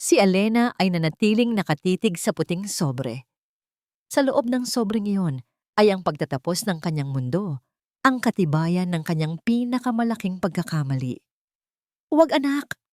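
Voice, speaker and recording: A woman's voice: on the low side, expressive, moderate pace, darkish tone, no room sound, studio quality. A woman with a Filipino accent reading a dramatic love story as scripted narration.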